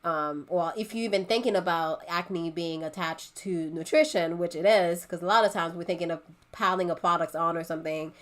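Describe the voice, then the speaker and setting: A woman from Haiti talks in a relaxed group chat with a slightly low voice. She is pregnant, chatty and laughs easily. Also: brisk, hardly any pauses. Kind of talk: casual chat.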